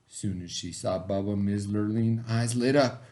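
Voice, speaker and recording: A man narrating a children's storybook aloud in an expressive read-aloud style. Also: lowish voice, unhurried. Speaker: storybook reader.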